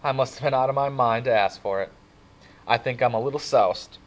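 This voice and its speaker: A man voicing a cocky, wisecracking teenage boy with a loud, swaggering drawl. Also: medium pitch, brisk, pitched above the performer's own voice, lightly acted. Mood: easygoing.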